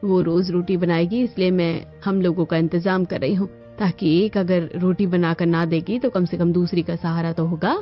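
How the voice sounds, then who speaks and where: Woman voicing a determined middle-aged mother, low voice. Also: steady tone, normal speed, few pauses, natural voice. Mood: firm, insistent. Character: determined middle-aged Indian mother planning separate kitchens. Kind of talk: Hindi cartoon story dialogue. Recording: dull lo-fi audio with music.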